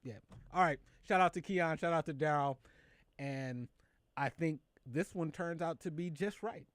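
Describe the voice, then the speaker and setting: A man hosting a casual chat show about news and culture, animated, laughing often and stumbling over his words when excited. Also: fairly high pitch, measured pace, dry recording, clean studio sound. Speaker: show host.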